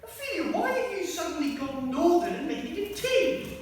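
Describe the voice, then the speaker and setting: A woman's voice: very high voice, very animated, normal speed, a little mellow, recorded from a distance, a little hiss or hum. A woman playing an exasperated, bossy director, recorded in an echoey hall from afar.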